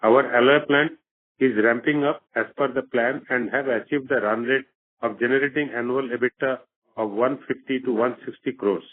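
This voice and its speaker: Exec guy reading out company results on a conference call, formal n measured, thin phone-like sound, dry. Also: medium pitch, steady tone, no room sound. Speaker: company executive. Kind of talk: scripted earnings call presentation. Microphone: phone or conference call line.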